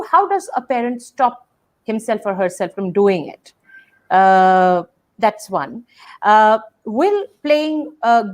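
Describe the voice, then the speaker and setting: A woman who hosts a webinar on drama and talks hesitantly, stopping to search for words as she asks long questions. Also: mid-range voice. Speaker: host and drama educator. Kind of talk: webinar conversation.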